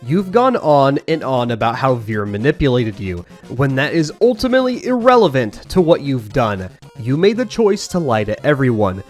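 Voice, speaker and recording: Accusatory commentator man with lively pitch. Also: moderate pace, soft background music. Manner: accusatory, emphatic. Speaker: guest commentator. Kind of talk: scripted commentary.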